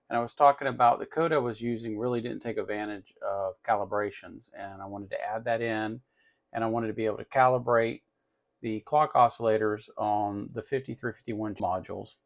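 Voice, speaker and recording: Male tutorial host, mid-range pitch, creaky fry, informal think-aloud delivery. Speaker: host of an electronics tutorial channel. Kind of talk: casual hands-on tutorial demonstration.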